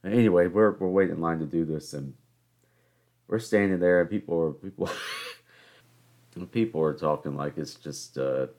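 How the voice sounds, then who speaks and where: Southern-raised twenties male host, New York-tinged accent, low pitch, loose self-mocking storyteller. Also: moderate pace, long pauses, darkish tone. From Charleston, South Carolina. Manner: rambling, joking, self-mocking. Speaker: podcast host. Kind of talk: casual solo podcast storytelling.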